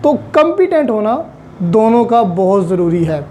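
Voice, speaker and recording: A man's voice: high voice, sing-song, moderate pace, a little mellow, a little hiss or hum. A man giving an online law lecture, patiently explaining step by step with examples and English legal terms. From Pakistan.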